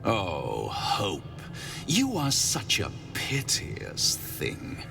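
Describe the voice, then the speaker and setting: Haughty devil lord, game villain, low gravelly voice, theatrical, wildly swooping delivery, music behind. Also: male voice, huge pitch swings, drawn-out words, recorded from a distance, heavily acted. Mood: mocking. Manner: theatrical, grandiose, precise diction. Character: haughty, menacing devil lord of an infernal house. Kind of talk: video game villain acting.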